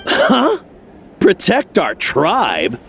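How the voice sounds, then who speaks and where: Casting: scornful game villager, highish creaky voice, taunting, faint ambient noise. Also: male voice, wildly swooping pitch, drawn-out words, fairly bright tone, heavily acted. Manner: sneering, taunting. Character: scornful, distrustful tribesman goading a rival. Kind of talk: video game voice acting.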